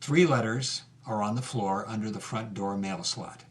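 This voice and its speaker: An actor as a plain-spoken narrator, reading scripted present-tense narration for an audio drama. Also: male voice, fairly low pitch, moderate pace, distant mic, lightly acted. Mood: matter-of-fact.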